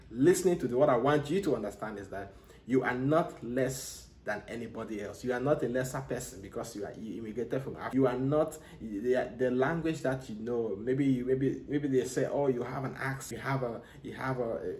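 A man's voice: mid-range voice, a little mellow, reverberant. A man from Cameroon hosting a podcast, speaking earnestly and motivationally with frequent restarts. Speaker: podcast host. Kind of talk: solo podcast monologue.

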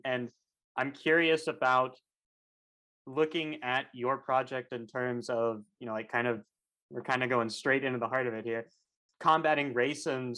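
A man's voice: medium pitch, a bit bright, poor audio. A man hosting an interview, asking long rambling questions full of "you know, like". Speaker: interviewer, host. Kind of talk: casual interview conversation.

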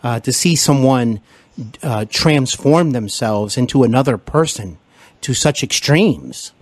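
A man hosting an interview podcast, enthusiastic and complimentary, often pausing with fillers. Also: medium pitch, expressive, no room sound. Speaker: podcast host.

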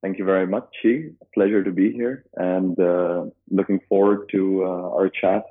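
A man with a very deep voice speaks over a thin, phone-like line. He is from Dubai and answers casually as a guest. He hesitates often, stopping and restarting his sentences. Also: little pitch movement. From Dubai, UAE. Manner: halting, many filler sounds and restarts. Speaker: guest, business co-founder. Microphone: phone call.

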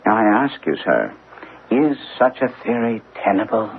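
Probing gentleman detective, precise and composed, lively pitch swings, old radio drama thru a tiny speaker. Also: breathy edge, heavily acted. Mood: incisive, measured. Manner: deliberate, precise, questioning. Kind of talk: classic radio drama acting. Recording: tinny, noisy old radio recording.

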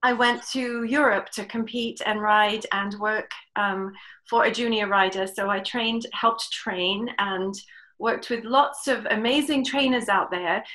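A woman's voice: mid-range voice, fairly even intonation, unhurried, pushed and bright. A middle-aged woman with an English accent, casually telling her own story as an interview guest. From England. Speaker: webinar guest, riding clinician and horse trainer.

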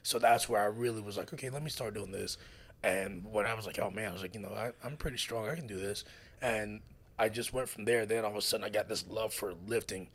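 A man in his forties, a military veteran guest, telling stories in casual chat and acting out quotes with loud bursts. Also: on the low side, fast talker. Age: 40s. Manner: animated storyteller who acts out quotes with sudden loud bursts.

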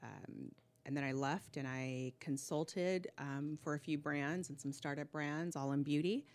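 Low-voiced woman guest on a podcast. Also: a little slow, a little mellow. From Riverside, California. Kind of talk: casual podcast chat. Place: podcast studio.

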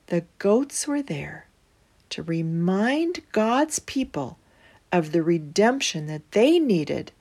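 A woman reading a children's storybook aloud as host, with deliberate phrasing and emphatic pauses. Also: mid-range voice, darkish tone.

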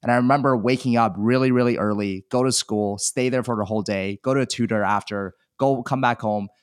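A man's voice: medium pitch, fairly even intonation, fairly quick, clean tone, no room sound, clean studio sound. A man in his thirties from China, telling his life story candidly as a podcast guest, self-deprecating and chatty. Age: 30s. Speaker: guest, e-commerce seller. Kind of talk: casual podcast interview.

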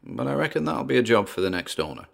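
A man's voice: medium pitch, very animated, fast, vocal fry, full character voice. A man with a British accent playing a wary, chatty paranormal investigator narrating his exploration in a podcast-style audio drama, with theatrical asides. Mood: chatty, wry.

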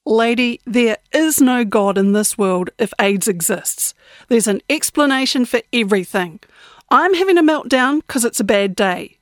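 Woman narrator as a jittery, profane young woman, defiant and high, dry sound. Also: dry recording.

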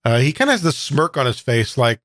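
A man's voice: medium pitch, sing-song, fairly quick, a bit bright, dry recording. Male tabletop player, dry close sound. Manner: explanatory, describing his character's actions. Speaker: guest player in tabletop game. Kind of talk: casual in-game narration.

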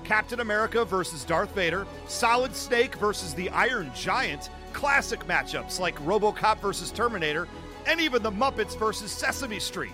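A man's voice: high-pitched, animated, moderate pace. A man co-hosting a podcast and reading its scripted promo. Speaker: podcast co-host. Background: music.

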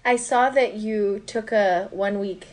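A woman's voice: mid-range voice, unhurried. A woman working as an interviewer, putting questions in a conversational style. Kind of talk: conversational oral-history interview.

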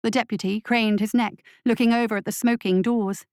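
A woman's voice: fairly high pitch, fairly even intonation, fairly quick, a little mellow, no room sound, studio quality. A woman reading scripted fiction as an audiobook narrator, in a dramatic storytelling style.

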